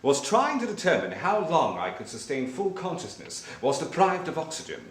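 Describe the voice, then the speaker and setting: An actor playing an eccentric, self-assured gentleman detective in a film drama, with a British accent and wry, theatrical, precise diction. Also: a little high, huge pitch swings, normal speed. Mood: wry, self-satisfied. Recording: roomy sound with music behind.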